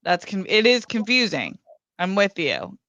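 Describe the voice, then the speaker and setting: A woman's voice: medium pitch, wildly swooping pitch, measured pace, a bit bright, muffled recording, a little hiss or hum. A woman co-hosting a casual podcast, thoughtful and a little hesitant. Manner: thoughtful, hesitant, easygoing agreement. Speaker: podcast co-host.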